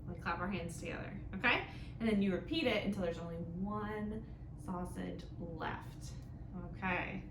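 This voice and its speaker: A woman's voice: medium pitch, moderate pace. A woman hosting an interactive storytime for young children, explaining things in simple phrases and often checking in with questions. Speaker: children's library storytime host. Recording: echoey room with clear background noise.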